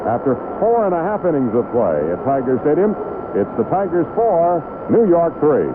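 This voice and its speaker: A man's voice: on the high side, big pitch swings, moderate pace. An American man calling live baseball play-by-play on the radio. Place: outdoor stadium broadcast booth. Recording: noisy tinny vintage 1970s radio broadcast. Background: hissy stadium ambience noise.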